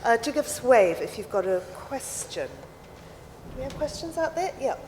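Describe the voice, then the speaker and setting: A woman moderating a festival panel, brisk and polite as she calls for questions. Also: on the high side, big pitch swings. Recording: reverberant festival hall on PA, faint noise.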